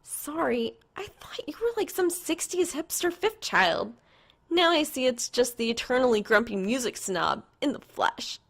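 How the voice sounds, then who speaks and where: A woman voicing a sharp-tongued, teasing young female gamer in a story, with snarky, animated delivery. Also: high-pitched, unhurried, creaky, voice pushed up, full character voice.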